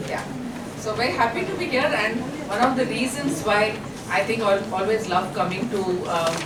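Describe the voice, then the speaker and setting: A middle-aged woman giving a lively guest lecture to college students, chatty and engaging, often asking the audience questions. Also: medium pitch. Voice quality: loud voice. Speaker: invited guest speaker. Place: college hall. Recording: echoey hall, far from mic, noisy.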